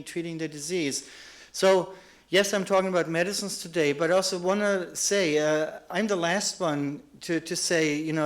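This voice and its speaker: A middle-aged man with a German accent speaks to an audience in a lecture hall. His voice sits slightly high for a man. He is earnest and often repeats a word before going on. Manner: earnest, with many restarts and repeated words. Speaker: psychiatrist giving a lecture. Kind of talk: conference lecture.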